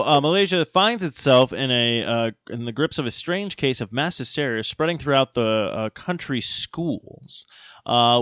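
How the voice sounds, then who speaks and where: A man's voice: mid-range voice, a bit bright, no echo. A man talks as a podcast co-host in casual banter. He rambles, halts and stumbles over his words, with a loose, profane streak. The recording is close and dry, with a slightly muffled top end. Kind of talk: casual banter and reading odd news items.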